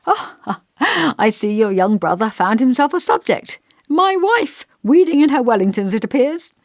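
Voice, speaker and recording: Woman voicing a fussy, genteel old vicar, swooping pitch. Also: very animated, moderate pace, no room sound, studio quality. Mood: genial, fussy. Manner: pompous, old-fashioned, genteel, musing. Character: elderly male village vicar, kindly, fussy, long-winded. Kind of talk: audiobook character dialogue.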